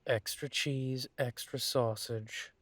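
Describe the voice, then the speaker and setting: A man playing an earnest young adult werewolf son in an audio drama, talking in a measured, steady way. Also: mid-range voice, no echo. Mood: friendly. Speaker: audio drama creator and lead voice.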